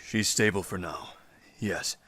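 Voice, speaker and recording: A man playing a composed, courteous apocalypse survivor in an audio drama, with gravelly voice and measured formal phrasing. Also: deep voice, sharp tone, heavily acted. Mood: calm.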